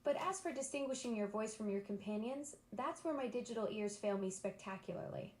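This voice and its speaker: A woman's voice: fairly high pitch, lively intonation, fairly quick, darkish tone. Bubbly female voice assistant, roomy echo. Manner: bubbly, enthusiastic, eager to please. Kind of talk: upbeat synthetic assistant chat.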